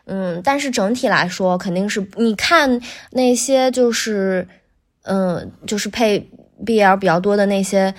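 Woman, podcast guest, casual interview talk, medium pitch, explanatory, self-correcting. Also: unhurried. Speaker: guest voice actor.

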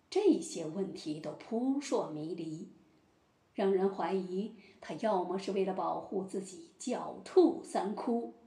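A woman playing a solemn judge in a mock-trial audio drama, speaking formally and deliberately. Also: medium pitch, dark tone. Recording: roomy echo.

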